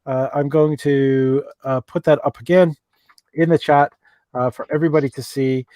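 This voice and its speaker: A man's voice: mid-range voice, fairly even intonation, normal speed, dark tone, no room sound. A man with an Irish accent hosting a live call-in chat show and reading out viewer questions, with many ums and restarts. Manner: hesitant, many fillers and restarts. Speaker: livestream host.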